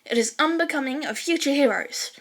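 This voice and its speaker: A woman's voice: high-pitched, brisk, fairly bright tone, pitched above the performer's own voice. A woman voicing an earnest, rule-minded teenage boy who leads his class, declaiming formally in a story. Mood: stern, earnest.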